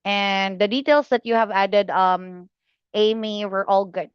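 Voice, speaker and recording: A woman tutoring English exam students, giving feedback slowly and patiently with many fillers and pauses. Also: mid-range voice, fairly even intonation, clear, resonant tone, no room sound, muffled recording. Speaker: IELTS speaking tutor.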